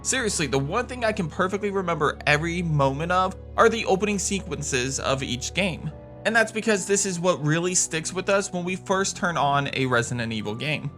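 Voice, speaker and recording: A man with a bright, forceful voice pitched a bit high narrates a scripted game countdown. He is emphatic and enthusiastic, with faint music underneath. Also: animated, a little fast. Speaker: video game countdown host.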